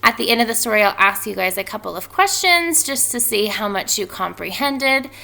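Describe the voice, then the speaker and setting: A woman speaking as a teacher doing a storybook read-aloud for children, expressive and emphatic in her delivery. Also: mid-range voice, fairly quick, vocal fry, low-quality recording. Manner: animated, expressive delivery with emphatic stretched words.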